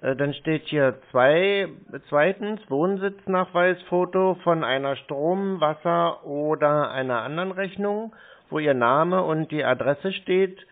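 A man's voice: on the high side, takes it slowly. A man baiting a scam caller on the phone, talking in a dry, needling and pedantic way. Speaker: scam baiter on a phone call. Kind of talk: phone conversation. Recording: tinny phone line.

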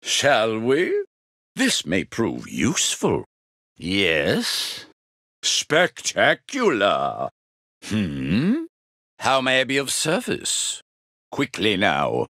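A man's voice: on the low side, huge pitch swings, drawn-out words, sharp tone, no echo, studio quality, exaggerated voice. A man voicing a grandiose, greedy, scheming villain for a video game, imperious and theatrically polite. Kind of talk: video game character voice clips.

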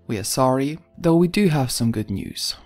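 A woman voicing a calm, bureaucratic government consul in an audio story, with an even, polite, detached tone and a husky voice. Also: extremely low pitch, moderate pace, soft background music.

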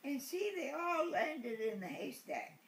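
Elderly woman, great-grandmother reading to a baby, slow pace, playful, roomy living-room recording. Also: sits high, very animated. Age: 80s. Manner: playful, repeating words slowly for a small child. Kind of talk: animated picture-book read-aloud with asides. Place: living room sofa, indoors. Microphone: handheld camera a short distance away. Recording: roomy home recording with background noise.

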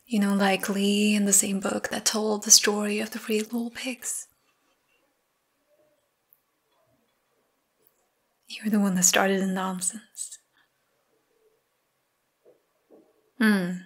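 Woman voicing a wry lovesick young vampire, whispers breathy in a flat tone, for an ASMR roleplay. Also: mid-range voice. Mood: teasing. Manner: soft whispering, dry teasing.

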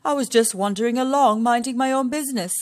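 A woman's voice: fairly high pitch, quick pace, a little mellow, dry recording, clean studio sound, pitched above the performer's own voice, close to an everyday voice. A woman doing a sly, fawning storybook lion. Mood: smooth storytelling. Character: sly hungry male lion, smooth and flattering.

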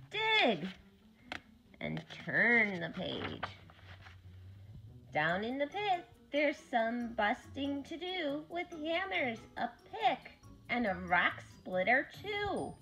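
Lady doing kids story time, slow careful rhyming read, really sing-song up and down, bit of music behind. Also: high-pitched, pushed and bright. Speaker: children's story-time reader. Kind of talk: animated read-aloud of a rhyming picture book.

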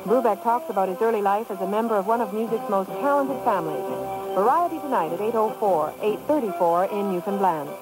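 A woman announces upcoming radio programmes from a script. Her pitch is average, and music plays clearly behind her. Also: expressive, a little fast, poor audio. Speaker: continuity announcer.

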